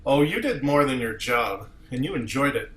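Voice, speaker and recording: A man's voice: medium pitch, expressive, moderate pace, a bit bright, natural voice. A man playing a formal, proud, sardonic starship captain in an amateur sci-fi script reading. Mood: reproachful. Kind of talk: amateur table read of TV script. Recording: echoey room with faint noise.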